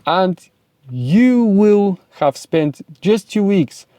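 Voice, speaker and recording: A young Bulgarian-accented man lectures with pushy, repetitive emphasis, his voice sitting a bit high yet mellow and soft-edged. The sound is close and dry, with no room echo. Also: animated, extremely slow. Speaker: self-improvement vlogger and speaking coach. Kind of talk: motivational talk to camera.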